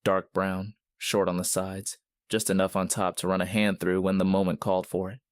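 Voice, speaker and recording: Deep, even-toned male narrator. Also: normal speed, dry recording. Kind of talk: scripted story narration.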